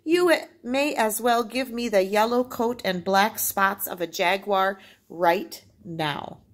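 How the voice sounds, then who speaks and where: A woman's voice: mid-range voice, slow pace, pitched above the performer's own voice, lightly acted. A woman voicing a boastful, mocking male jungle rodent in a storybook, bragging and exclaiming. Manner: bragging, laughing scornfully, exclamatory.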